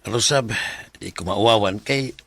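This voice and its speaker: An actor playing a kindly, avuncular village pastor in a Bisaya radio drama, with a husky voice and a Cebuano Filipino accent. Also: male voice, lowish voice, normal speed, fairly bright tone, no room sound. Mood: advising.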